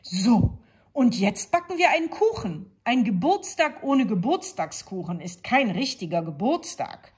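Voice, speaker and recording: A woman voicing a sly, hungry male wolf in a storybook, talking high and pushy. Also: lively intonation, slow, slightly rough, full character voice. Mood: eager.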